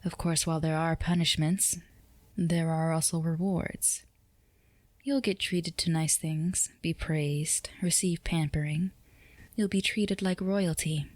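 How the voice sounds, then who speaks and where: A dominant, flirtatious female boss, acted by a woman in a roleplay ASMR drama. Her voice is low and soft, dipping into whispers, and recorded very dry and close. She sounds teasing, self-assured and used to being obeyed. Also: steady tone, slow pace, no room sound. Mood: coaxing, flirtatious.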